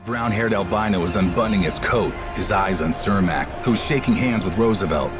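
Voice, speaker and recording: An actor playing a tough, wry detective narrating a noir audio drama. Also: male voice, low-pitched, a little fast, natural voice. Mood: grim, tense. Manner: wry, hard-boiled storytelling. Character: tough, hard-boiled male detective narrating his story. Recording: loud music under the voice. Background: loud dramatic music.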